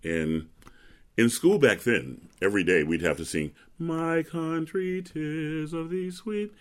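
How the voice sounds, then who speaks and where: A middle-aged opera bass singer, a man with a deep, resonant speaking voice, chatting easily as an interview guest and telling stories with ready laughs. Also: expressive, slow pace. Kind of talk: relaxed interview chat.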